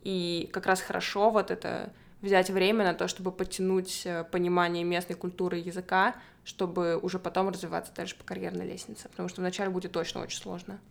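A woman's voice: fairly low pitch, little pitch movement, quick pace, gravelly, few pauses. A young woman hosting a casual conversation, framing long thoughtful questions. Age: young adult. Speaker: podcast host.

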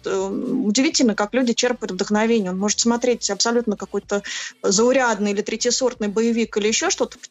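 A woman answering as an interview guest in a conversational, expressive way, explaining with examples and repeated words. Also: a little high, dry recording. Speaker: interview guest, actress and film producer.

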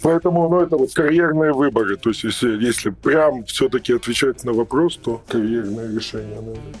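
A man's voice: mid-range voice, brisk, soft background music. A man with a strained voice speaking as a podcast guest, giving hesitant, reflective answers that circle around the point. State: voice strained after losing it the day before. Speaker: podcast guest, professional trainer. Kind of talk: reflective interview talk.

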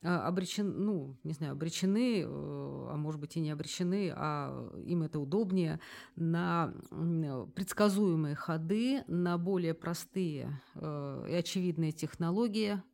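A woman's voice: sits low, unhurried, gravelly, a little mellow. A woman interviewer putting long questions, searching for her phrasing as she goes.

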